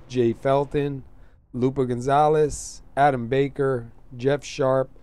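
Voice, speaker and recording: A man with a hoarse, fading voice hosting casual, halting race commentary in an informal way. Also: medium pitch, mellow. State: hoarse, losing his voice. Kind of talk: casual sim racing commentary.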